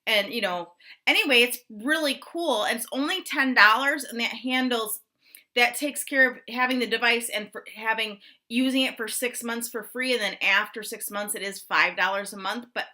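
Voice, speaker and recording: A woman's voice: fairly high pitch, moderate pace, pushed and bright. A woman co-hosting a casual show, explaining things in an enthusiastic, conversational way and repeating points for emphasis. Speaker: show co-host.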